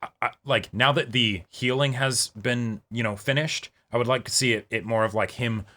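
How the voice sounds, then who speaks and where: A man's voice: mid-range voice, fairly even intonation, normal speed, sharp tone. A man chatting casually as co-host of a reaction show, conversational and peppered with filler words as he reasons things out. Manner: conversational, hedges with "like" and "you know". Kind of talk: casual TV episode discussion.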